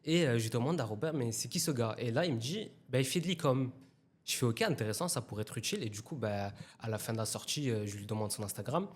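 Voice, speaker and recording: A man in his twenties, a guest from Italy, telling a story in a relaxed, slangy way in a casual interview. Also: mid-range voice, fast, slight background noise. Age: 20s. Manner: relaxed anecdotal telling, slangy, with acted quotes.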